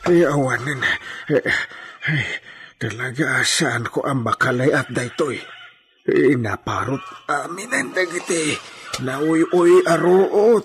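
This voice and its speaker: Man acting slow, wailing Ilocano radio-drama character, highish voice. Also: animated, background noise. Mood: despairing. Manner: muttering to himself with moans and exclamations. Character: excitable man toiling alone, muttering and wailing to himself. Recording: loud music behind the voice.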